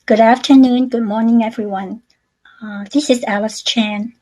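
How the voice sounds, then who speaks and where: Woman, halting testimony. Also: on the high side, fairly even intonation, darkish tone, rough recording. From Hong Kong. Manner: halting, many fillers and restarts. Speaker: panel speaker sharing her story. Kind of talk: personal testimony on a webinar.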